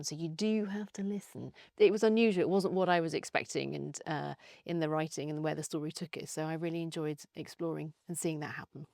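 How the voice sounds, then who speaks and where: A woman's voice: low voice, creaky, darkish tone, no echo, studio quality. A woman speaking casually and appreciatively in an interview.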